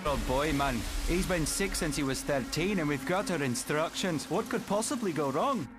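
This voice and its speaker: A man playing a gruff, cocky police sergeant in a motion comic, blunt and swaggering. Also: on the high side, expressive, moderate pace, over loud music, lightly acted. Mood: firm, coaxing.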